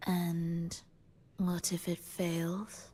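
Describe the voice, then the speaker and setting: An actress voicing a cool, skeptical villainess in a video game, breathy and whispery, asking questions with detachment. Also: female voice, on the low side, monotone, extremely slow, mellow. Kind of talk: dramatic game dialogue.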